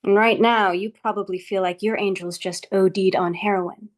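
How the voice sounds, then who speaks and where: A woman playing a composed, condescending female office boss in a script table read, speaking in a measured, dry way. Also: medium pitch, steady tone, natural voice. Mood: wry, consoling.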